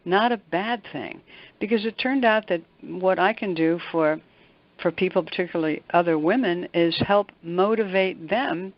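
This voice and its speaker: A woman's voice: medium pitch, no room sound, muffled recording. A middle-aged or older woman, a motivational coach answering as an interview guest, earnest and emphatic, often posing questions and answering them.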